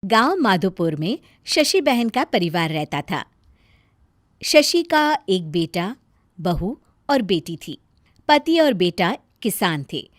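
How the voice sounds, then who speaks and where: High-pitched, expressive woman storyteller. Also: dry recording, studio quality. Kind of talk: scripted moral-story narration.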